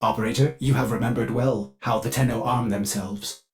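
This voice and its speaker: A man's voice: mid-range voice, steady tone, normal speed, darkish tone, close to an everyday voice. A man voicing a fussy, servile ship computer AI in a video game, speaking in a chirpy, formal and theatrical way with an undercurrent of violent glitches. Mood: fussy, eager. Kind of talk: video game character voice lines.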